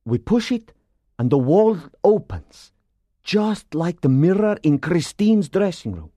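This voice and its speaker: Guy doing a grave persian guide in an audiobook, slow and ominous, kinda dark muted tone, bossy and wary. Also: high voice, sing-song, dry recording, voice pushed up, heavily acted. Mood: grave explaining.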